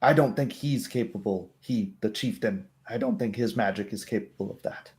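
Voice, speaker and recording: A man voicing a wary, weary female kobold in a tabletop roleplay, speaking haltingly with pondering hums. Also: on the low side, darkish tone, close to an everyday voice. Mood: uncertain, pondering.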